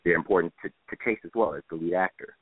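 A man's voice: low-pitched, fast talker. A man being interviewed as a stage director, speaking conversationally and earnestly, repeating phrases for emphasis. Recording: tinny band-limited phone line, roomy echo.

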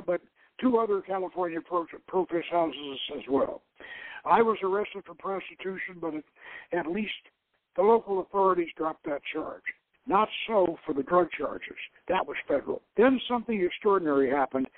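A man reading a novel aloud as a woman, a former priestess of an occult lodge, confessing her story in a measured, deliberate way. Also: sits high, no echo. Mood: frank, bitter. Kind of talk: dramatic novel reading, first-person narration. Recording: narrow-band radio or phone-like sound.

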